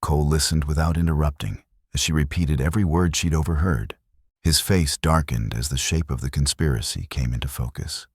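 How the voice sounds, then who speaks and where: A man narrating a western romance audiobook in a measured, dramatic way. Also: extremely low pitch, slightly rough, no room sound, studio quality. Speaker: storyteller.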